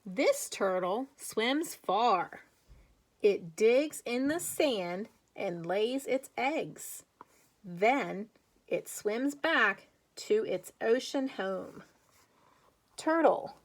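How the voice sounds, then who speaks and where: A woman teacher reading aloud to young children with chatty asides, speaking deliberately and putting questions to her listeners in an encouraging way. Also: a little high, animated. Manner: slow, deliberate, emphatic when reading aloud.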